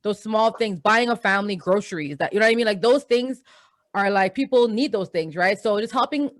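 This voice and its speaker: A woman's voice: medium pitch, fairly quick, no room sound, studio quality. A young woman with a Trinidadian accent, co-hosting a podcast in casual, chatty talk. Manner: chatty and upbeat, many fillers. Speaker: podcast co-host.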